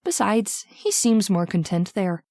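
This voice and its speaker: A woman's voice: mid-range voice, big pitch swings, measured pace, a little mellow, no room sound, studio quality. A woman playing a worried, deferential housekeeper in an old-time radio drama, with a fussing manner. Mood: fretful.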